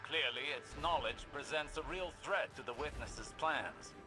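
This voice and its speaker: A male actor playing a calm, grave mentor in a video game, with measured and articulate speech. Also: medium pitch, over music, close to an everyday voice.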